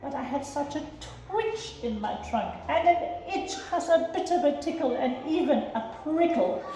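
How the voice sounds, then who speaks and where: A woman's voice: high-pitched, lively intonation, moderate pace, warm tone, voice pushed up. A woman voicing a big, timid male elephant in a rhyming storybook, with a fretful, pleading sing-song. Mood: apologetic. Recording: echoey room.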